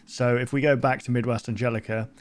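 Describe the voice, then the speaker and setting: A man's voice: mid-range voice, fairly even intonation, quick pace, noisy background. A man hosting a reaction video in a casual, rambling, speculative way.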